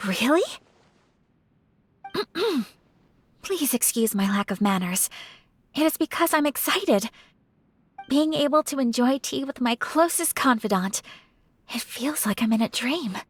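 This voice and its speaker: A woman voicing a refined, gentle young noblewoman in a video game, speaking with formal courtesy and shy affection. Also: a little high, animated, moderate pace, husky, no echo. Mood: shy, earnest. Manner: formal, polite, softly hesitant phrasing. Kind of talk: scripted video game character voice acting.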